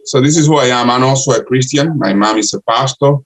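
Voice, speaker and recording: An older man from the Republic, answering interview questions in halting English full of restarts. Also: mid-range voice, rough recording. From Dominican Republic. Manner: halting, many restarts and uh fillers. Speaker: guest, city councilor and candidate.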